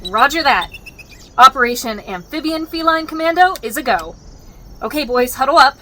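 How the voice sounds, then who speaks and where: An actress playing a chirpy, playful, exuberant space crew member in a sci-fi comedy audio drama. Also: female voice, high-pitched, animated, normal speed, bright, forceful tone, slight background noise. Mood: playful enthusiasm.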